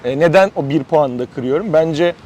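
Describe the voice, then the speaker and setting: A man's voice: on the high side, normal speed, a little mellow. A man giving his opinion as a rider in a casual, informal interview. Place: outdoors at a windy roadside stop. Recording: outdoor noise, light wind.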